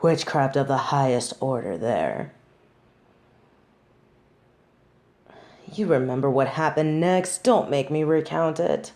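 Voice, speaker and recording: A woman's voice: low voice, animated, normal speed, a little mellow. A woman playing a snobby, haughty fallen angel who is secretly devoted, speaking wry, formal lines in an intimate audio roleplay. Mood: wry, reminiscing. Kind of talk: scripted audio roleplay, intimate monologue to one listener.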